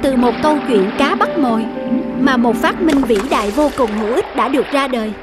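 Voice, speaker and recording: A woman delivers scripted storybook narration in a high-pitched voice. Loud music plays beneath her throughout. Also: a little fast.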